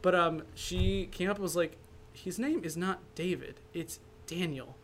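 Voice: medium pitch, unhurried. Podcast host in their twenties, slightly noisy recording. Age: 20s. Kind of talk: casual chat and storytelling.

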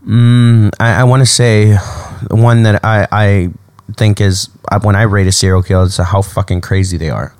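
A man with a fairly low voice, recorded dry and close. He chats casually as a podcast co-host, repeating phrases haltingly as he works out a point and peppering it with swearing. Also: steady tone, darkish tone, clear, resonant tone, dry recording. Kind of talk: casual chat about true crime.

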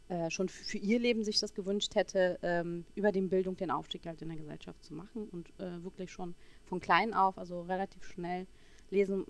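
A woman in her forties from Berlin telling her personal story as a podcast guest, halting as she searches for words. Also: on the low side. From Berlin, Germany. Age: 40s.

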